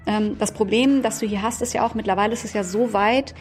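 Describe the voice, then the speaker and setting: A woman in her thirties answers interview questions at a brisk pace. She explains in long, fluent sentences and drops in small fillers as she thinks. Her voice sits at an everyday middle pitch. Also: steady tone, slight background noise. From Iran, raised in Germany. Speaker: Iran researcher, guest.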